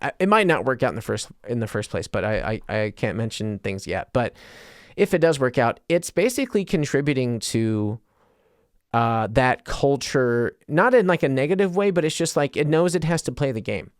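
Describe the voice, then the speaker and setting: An American man talks casually as a podcast host, wandering through tangents and restarting his thoughts. His voice sits at an average male pitch and is smooth and clear. The sound is dry, with hardly any room around it. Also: big pitch swings, moderate pace, dry recording. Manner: self-interrupting, trails into tangents. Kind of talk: casual, rambling solo podcast talk.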